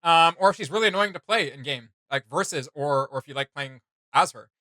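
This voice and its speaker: A young man hosting a reaction video, chatting casually in an animated, rambling, slangy way. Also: fairly high pitch, a little fast, no room sound. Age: young adult. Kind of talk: casual enthusiastic reaction chat. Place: small indoor room with desk and shelves.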